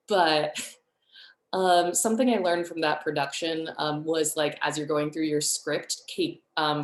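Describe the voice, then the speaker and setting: A woman speaking casually on a panel as a director, informal and rambling, often breaking off her own sentences. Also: sits low. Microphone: video call. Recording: roomy echo on a video call.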